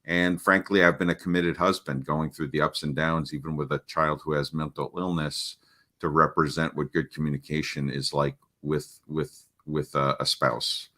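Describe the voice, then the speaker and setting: A middle-aged man with a deep voice, a parenting coach talking casually in an interview, with many restarts and fillers. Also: flat delivery, moderate pace, sharp tone.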